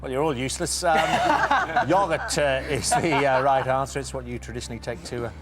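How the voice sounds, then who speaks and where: A middle-aged man hosting a TV quiz in a studio, reading questions briskly and teasing the contestants. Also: mid-range voice, animated, over a noisy crowd. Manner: brisk, teasing, reads multiple-choice questions. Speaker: quiz show host.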